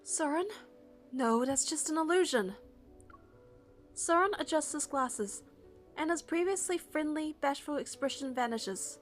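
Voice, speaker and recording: A woman narrator reading a first-person story from a script in an expressive, suspenseful, dramatic way. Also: high voice, unhurried, pauses often, soft background music.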